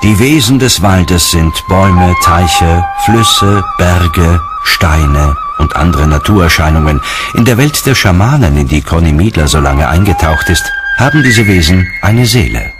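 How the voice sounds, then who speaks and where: A man's voice: low-pitched, lively intonation, measured pace, loud music behind the voice. A man reading scripted voice-over narration for a documentary.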